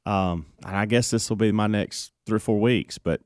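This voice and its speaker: A man with a low voice talks plainly on a radio show, relaxed and candid. He carries a lingering cough, and the sound is close and dry. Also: moderate pace, dry recording. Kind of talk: casual chat.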